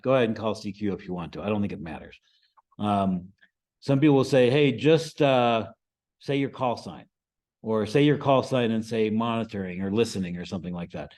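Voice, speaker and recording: A man with an American accent and a fairly low voice presents a lesson to a group. He talks conversationally, posing questions to his listeners and checking in as he explains things step by step. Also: a little mellow. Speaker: presenter, amateur radio instructor. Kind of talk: informal instructional presentation to a group.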